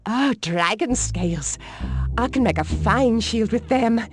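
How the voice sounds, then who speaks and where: Flirty, swooping dwarf shield-maker. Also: female voice, a little high, animated, unhurried, over music. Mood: sweetly eager. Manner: coy, suggestive, sugary pet names. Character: flirty bearded female dwarf shield-maker, bold and brassy. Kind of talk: cartoon adventure game acting.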